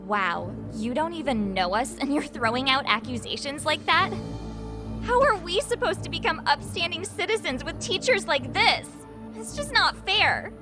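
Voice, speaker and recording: A woman's voice: high voice, big pitch swings, normal speed, over music. A woman voicing a cocky teenage schoolboy in a video game dub, wheedling and slangy with mock politeness. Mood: mock indignant. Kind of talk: video game cutscene English dub acting.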